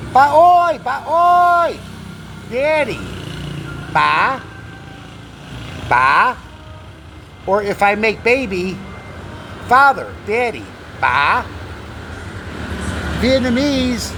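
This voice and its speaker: A talkative man rambles slowly, his pitch swinging up and down as he repeats words with loose grammar. He sits outdoors by a street, and traffic noise comes through clearly. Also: bright, forceful tone. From Canada. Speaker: vlogger. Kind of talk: casual rambling vlog. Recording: noisy outdoor street recording.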